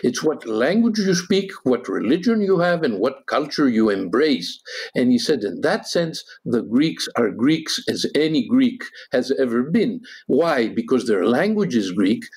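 A man speaking as a guest on a discussion podcast, halting with many fillers and rising sharply in pitch when quoting others. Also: sing-song, a little mellow, husky. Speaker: guest historian. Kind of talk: animated discussion, podcast panel.

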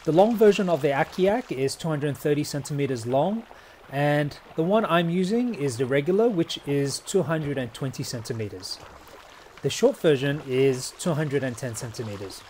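A young man narrating a kayaking speed test in a measured, explanatory voice-over. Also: fairly high pitch, animated, a little mellow, slight background noise. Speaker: kayaking vlogger. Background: faint background music.

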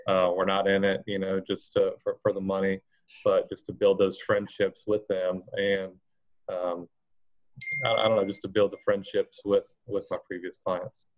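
Low, even-toned American man, dull call audio. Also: normal speed. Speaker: remodeler, panel guest. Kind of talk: casual explanatory talk.

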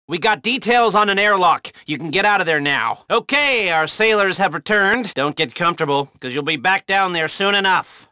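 A man's voice: sits high, bright, forceful tone, no room sound, clean studio sound. An actor playing a wry, sardonic male hacker who handles missions and gives instructions in a video game. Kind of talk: game mission briefing dialogue.

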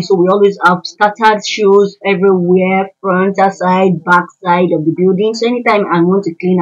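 Husky-voiced housemaid chatting while she cleans. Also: mid-range voice, highs cut off. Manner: chatty, talks viewers through each task while working. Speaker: housemaid filming her cleaning routine. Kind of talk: casual vlog narration to viewers. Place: tiled rooms of a large home.

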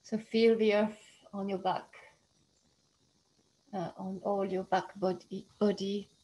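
Woman, meditation guide. Slow, soothing delivery with a flat, steady pitch. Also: low-quality recording. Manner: slow, soothing, hushed and even.

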